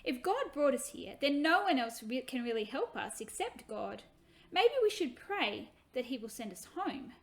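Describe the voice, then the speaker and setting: A woman's voice: high-pitched, very animated, normal speed. A woman voicing a thoughtful young boy in a children's story, earnest and matter-of-fact.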